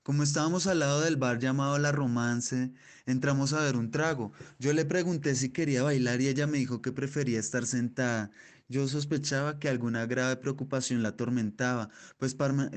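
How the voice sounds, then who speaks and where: A radio drama monologue from a man playing a concerned storyteller. His voice is middle-pitched, and his telling is measured and reflective. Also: natural voice. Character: concerned male narrator recalling a distressed woman friend.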